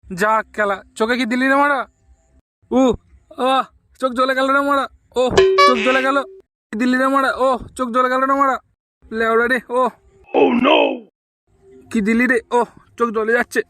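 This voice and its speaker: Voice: high voice, fairly even intonation, moderate pace, pauses often, pushed and bright. A performer voicing an irritable, foul-mouthed householder in a comedy cartoon. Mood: pained wailing. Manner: slangy, exclamatory, blunt. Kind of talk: Bengali comedy cartoon dialogue. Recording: very noisy track with clicks.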